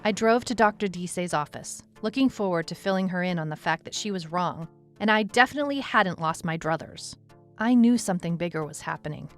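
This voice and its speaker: A woman's voice: fairly low pitch, a little fast, lightly acted. A woman playing a wry, anxious detective who narrates her own case in a noir-like audio drama. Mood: wry, reflective. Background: music.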